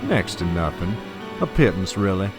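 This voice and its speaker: A man acts out a scheming oil baron in an audio drama. His voice sits fairly low over clear background music. He is mock-polite, letting each threat slide out with smug, menacing insinuation. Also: sing-song, normal speed. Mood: smug menace. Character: smooth, scheming male oil tycoon and blackmailer.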